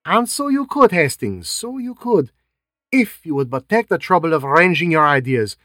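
A man's voice: on the high side, big pitch swings, moderate pace, sharp tone, no room sound, studio quality, pitched above the performer's own voice. A man voicing a fussy, dapper middle-aged male detective who speaks precisely and politely with French touches. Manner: precise, polite, faintly French-flavoured phrasing.

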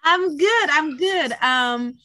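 A woman hosts a casual podcast interview. Her voice is bright and crisp-edged, recorded dry with very little room sound. She talks in an informal, chatty way. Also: high voice, expressive, slow pace, no room sound. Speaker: podcast host.

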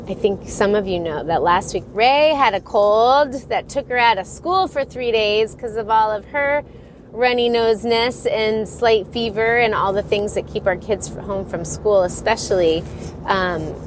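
A middle-aged woman hosting her own podcast, stuffy from a cold, talking in a rambling, chatty way and often laughing. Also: mid-range voice. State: congested from a cold. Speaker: podcast host and divorce coach. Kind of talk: casual solo podcast talk. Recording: audible background noise.

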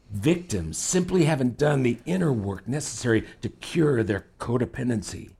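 A manipulative male professor in a fiction podcast. His mid-pitched voice has a slightly husky grain, and he speaks in a measured, condescending way, laying on praise with careful pauses. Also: a little mellow. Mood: lecturing, insistent. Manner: measured, patronizing, effusive praise with deliberate pauses. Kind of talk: fiction podcast audio drama acting.

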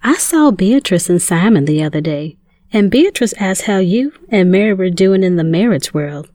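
Chatty, excitable sister, dry sound woman acting. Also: medium pitch, moderate pace, no room sound, close to an everyday voice. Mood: excited. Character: excitable, supportive younger woman, newly engaged sister. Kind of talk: audio drama acting.

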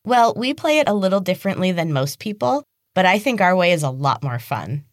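A sinister little girl in a fantasy storybook. The voice jumps up high into a shrill, childish whine, gushing with overdone enthusiasm. It is recorded close and dry. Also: big pitch swings, brisk, dry recording. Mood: sweetly sinister. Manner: over-the-top childish enthusiasm. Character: evil being posing as a bubbly little girl.

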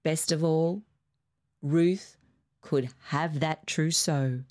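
Brief: female audiobook narrator, slightly low voice, expressive storytelling. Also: extremely slow. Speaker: audiobook reader.

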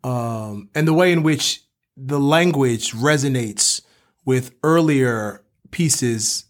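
A man's voice: medium pitch, slow. Reflective man discussing literature. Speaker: podcast co-host and professor.

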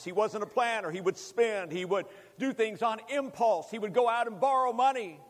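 A man in his fifties preaching a sermon, speaking emphatically with deliberate repetition and addressing the congregation directly. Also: very high-pitched. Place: large hall, preaching to a congregation. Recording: long hall reverb.

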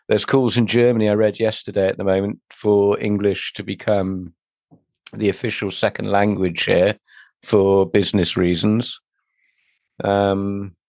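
A man answering a question about languages hesitantly, with many pauses and restarts. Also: lowish voice, darkish tone, no echo. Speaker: interviewee.

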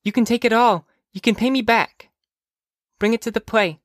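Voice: mid-range voice, normal speed, no echo, clean studio sound, voice pushed up, close to an everyday voice. A narrator voicing a young girl, an earnest, blunt and chatty kid sister, in an audiobook. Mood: earnest, hushed pleading. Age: child figure. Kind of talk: audiobook character dialogue.

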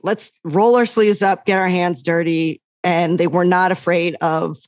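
A middle-aged American woman, a sports journalist, chatting as a guest in an interview, animated and laughing often. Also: fairly low pitch, unhurried, no room sound, clean studio sound. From United States. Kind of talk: casual interview talk.

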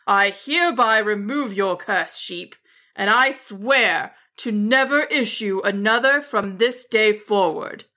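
A woman's voice: mid-range voice, takes it slowly, pushed and bright. A woman voicing a spiteful, stubborn male wizard in a children's story, snarling and huffy. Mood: huffy, grudging.

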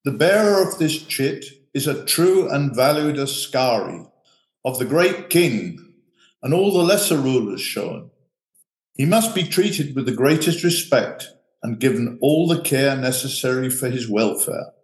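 A solemn, declamatory official proclamation, performed by a man in a roomy, echoing sound. The pitch is moderate and the wording is delivered formally, as a read-out wartime document. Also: animated, a little slow.

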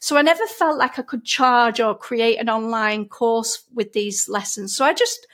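Woman, middle-aged, broad Lancashire accent, fairly high voice, chatty, explanatory, interview guest. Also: normal speed, fairly bright tone. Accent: broad Lancashire English. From Lancashire, England. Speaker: interview guest, painting teacher. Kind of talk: casual interview chat.

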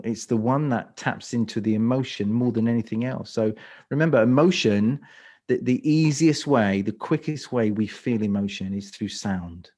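A man's voice: lowish voice, mellow. A man with a London accent, a speaker coach answering interview questions, often restarting and repeating words as he thinks. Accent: London English. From England.

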